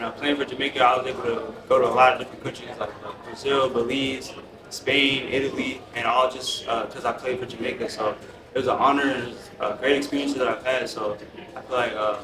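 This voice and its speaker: Teen male athlete, hesitant, crowd noise behind. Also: medium pitch. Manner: hesitant, many uh fillers. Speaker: national team basketball player. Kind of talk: answering press questions. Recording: roomy, crowd noise, distant mic.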